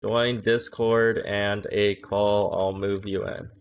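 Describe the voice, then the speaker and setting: A man's voice: lowish voice, little pitch movement, clear, resonant tone, dull audio. A young man streaming, chatting casually and joking with his viewers. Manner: profane, joking, quick reactions. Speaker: streamer and coach. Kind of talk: casual livestream chat.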